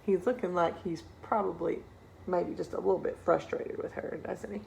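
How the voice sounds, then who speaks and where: A woman's voice: fairly low pitch, fairly even intonation, normal speed, creaky, background noise. A woman storyteller reading a picture book expressively to children, engaging listeners with rhetorical questions and asides. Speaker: read-aloud storyteller.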